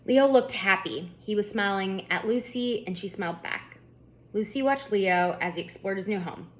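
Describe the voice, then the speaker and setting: A woman author narrating her children's book at storytime, with expressive, deliberate phrasing for young listeners. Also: medium pitch. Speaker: children's author reading aloud.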